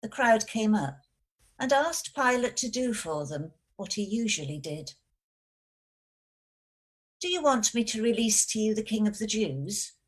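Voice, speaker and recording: A woman's voice: medium pitch, sing-song, measured pace, pauses often, breathy edge. A woman reading scripture aloud as part of a church service. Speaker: scripture reader.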